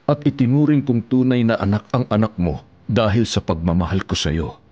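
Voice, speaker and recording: An actor playing a gentle, devoted older widower husband in a Filipino radio drama, speaking in a tender, measured way. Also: male voice, lowish voice, slightly rough, dry recording, lo-fi sound. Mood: tender, forgiving.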